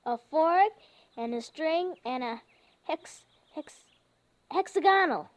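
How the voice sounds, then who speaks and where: High, halting little girl. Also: lively intonation. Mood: earnest, flustered. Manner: halting, labored sounding-out of words. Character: younger little girl, earnest, struggling note-taker. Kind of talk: old-time radio drama acting. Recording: dull, lo-fi old radio recording.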